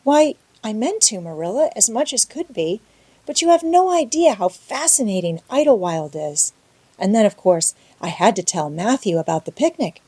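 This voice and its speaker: A woman voicing an excitable, talkative, imaginative young girl in an audiobook, gushing and breathless. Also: mid-range voice, normal speed, breathy edge. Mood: eager, pleading. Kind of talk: audiobook character voice.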